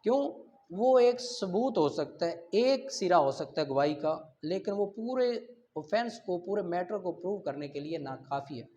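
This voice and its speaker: A man's voice: sits high, lively intonation, moderate pace, dark tone. A man with a Pakistani accent giving a law lecture, reading formal text aloud and explaining it point by point. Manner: explanatory, mixing English legal terms with Urdu. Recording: dull, muffled lo-fi audio.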